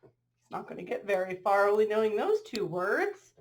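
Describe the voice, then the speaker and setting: A woman reading a storybook aloud to young children, expressively drawing out phrases and pausing to ask them questions. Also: medium pitch, very animated. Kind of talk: storybook read-aloud with asides to young listeners.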